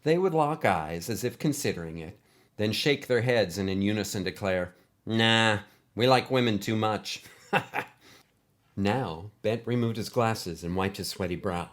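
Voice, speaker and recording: A male storyteller with a fairly low voice. He narrates scripted fiction with expressive, deliberate phrasing.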